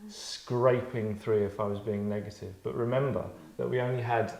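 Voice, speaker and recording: A man hosting and directing a table read, chatting casually in a tongue-in-cheek acting critique, deadpan, rambling and self-satisfied. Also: medium pitch, normal speed, gravelly. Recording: roomy, echoey indoor sound.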